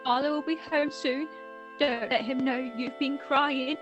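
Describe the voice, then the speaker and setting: A woman's voice: sits high, fairly even intonation, a little slow, dull audio, soft background music. A woman playing a caring young daughter in a radio play, comforting family. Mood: soothing, hushed. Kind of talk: theatrical radio play acting.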